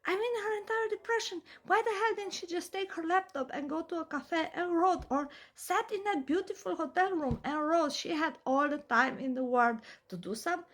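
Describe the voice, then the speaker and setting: A woman's voice: very high-pitched, normal speed, few pauses, fairly bright tone. A young woman from Eastern Europe with an Eastern European accent, giving emphatic, opinionated commentary full of rhetorical questions. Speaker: vlogger.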